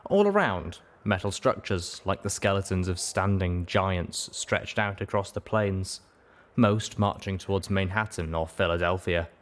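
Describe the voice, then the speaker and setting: Male audiobook narrator, low pitch, scripted first-person storytelling, fantasy adventure fiction. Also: lively intonation, measured pace.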